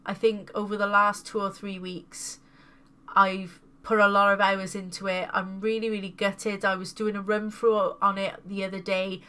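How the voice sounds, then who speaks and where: A woman's voice: mid-range voice, level tone, normal speed, sharp tone, clear, resonant tone. A woman casually showing off her new video game pickups, sounding congested from a cold and repeating words with enthusiasm. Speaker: gaming video host.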